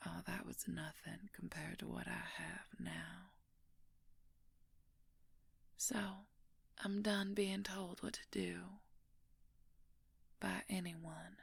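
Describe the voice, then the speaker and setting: A woman playing a smug, possessive female werewolf in an audio roleplay, murmuring her condescending threats in a breathy, often whispered voice. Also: fairly low pitch, no room sound, full character voice. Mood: contemptuous, menacing. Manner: slow, smug, condescending, drawn-out menace. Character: menacing, possessive female werewolf, former court mage. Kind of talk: audio roleplay monologue addressed to the listener.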